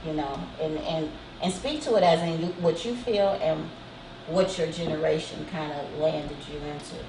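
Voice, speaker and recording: A woman's voice: low-pitched, normal speed, dark tone. A woman hosting a casual talk show, speaking in an encouraging, affirming way. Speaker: host. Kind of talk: casual chat. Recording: echoey room heard from a distance, with background noise.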